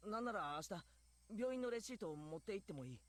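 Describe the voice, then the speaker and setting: An actor playing an uneasy teenage boy in a visual novel, with a breathy voice. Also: high-pitched, lively intonation, very fast. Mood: guarded. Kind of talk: Japanese visual novel voice acting.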